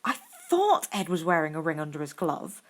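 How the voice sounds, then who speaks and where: A woman's voice: low-pitched, very animated, normal speed. A woman voicing an unflappable, teasing schoolboy with a thoughtful, wry way of talking.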